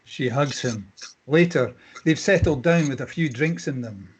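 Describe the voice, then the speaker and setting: A man's voice: mid-range voice, a little slow, noisy background. A man reading stage directions as scripted narration at a screenplay table read.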